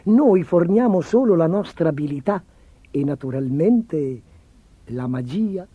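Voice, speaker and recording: A man's voice: on the high side, lively intonation, measured pace, slightly rough, no echo, phone-quality sound. A man voicing a sly swindler posing as a royal tailor, obsequious, self-assured and persuasive. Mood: ingratiating. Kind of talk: storybook character voice.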